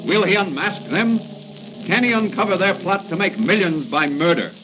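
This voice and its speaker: An actor as a suspenseful, theatrical narrator of a 1940s radio serial. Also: male voice, high-pitched, expressive, moderate pace. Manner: theatrical rhetorical questions. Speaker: announcer and narrator. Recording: muffled, noisy 1940 radio recording.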